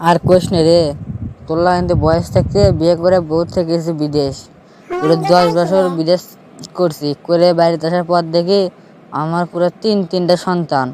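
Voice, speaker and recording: A man's voice: on the high side, little pitch movement, normal speed, close to an everyday voice. A man playing a cranky, haggling village madman uncle in a comic cartoon, quarrelsome and repetitive, with a Bogura accent from northern Bangladesh. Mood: aggrieved. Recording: noisy recording with clear background noise.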